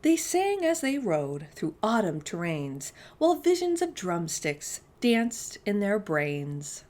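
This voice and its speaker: Expressive, slow-paced woman reading to children. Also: mid-range voice. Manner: expressive, addresses young listeners directly with questions. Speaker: children's storytime host and reader. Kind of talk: read-aloud storytime for young children.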